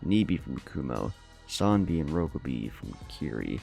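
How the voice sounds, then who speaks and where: A man's voice: a bass voice, big pitch swings, normal speed, gravelly, a little mellow, soft background music, pitched below the performer's own voice, heavily acted. A man narrating a storybook as a scheming, condescending masked villain with a haughty, menacing manner.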